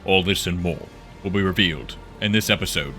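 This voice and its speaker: A man reading scripted, measured, dramatic narration for a science fiction audio drama. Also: sits low, over music.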